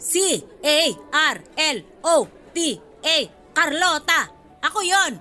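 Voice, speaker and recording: A woman's voice: sits high, expressive, slow, sharp tone, faint music behind, heavily acted. An actress in a Filipino radio drama playing an indignant woman near sixty, a new college freshman who is combative, exasperated and demanding. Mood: angry, insistent.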